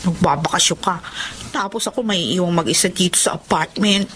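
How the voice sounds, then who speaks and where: An actress playing a defiant, quick-tempered young mistress in a Filipino radio drama, with dramatic music behind her. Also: on the low side, fairly bright tone, a little hiss or hum, lightly acted. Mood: sulky.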